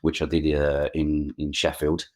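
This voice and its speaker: A middle-aged man with an Essex twang and a very deep voice talks through his story as a guest on a remotely recorded interview. He stumbles and repeats words often and circles back to restart his sentences. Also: monotone, measured pace, a little mellow. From England. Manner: hesitant, frequent word repeats and restarts. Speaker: guest, freelance photographer. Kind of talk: casual interview chat.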